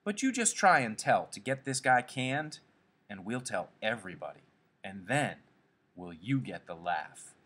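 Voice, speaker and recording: A man's voice: fairly low pitch, very animated, measured pace, a bit bright, slightly rough, exaggerated voice. A man voicing a stern, fair-minded ranch hand with firm, blunt rural speech. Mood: quietly threatening.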